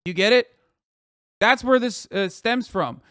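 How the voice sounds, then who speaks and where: A man live streaming, reacting to tweets and lecturing his chat in a profane, animated way full of rhetorical "okay?" asides. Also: high-pitched, a little slow, dry recording, clean studio sound.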